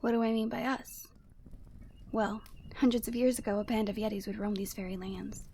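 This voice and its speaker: A woman playing a proud, commanding yeti woman in a scripted ASMR roleplay, speaking in an imperious, measured and formal way. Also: mid-range voice, flat delivery, mellow, slight background noise, lightly acted. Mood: solemn, instructing.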